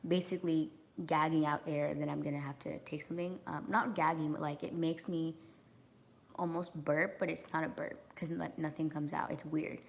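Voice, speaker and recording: A young woman with a hoarse, raspy voice from a sore throat talks casually straight into a phone held at arm's length as she wanders through her house. She rambles with plenty of ums and likes, swears freely, and sits a little low for a woman. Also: little pitch movement, normal speed. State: hoarse from acid reflux. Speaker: vlogger. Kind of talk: casual vlog rant. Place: large home kitchen and rooms, indoors. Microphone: phone camera held at arm's length, walking around.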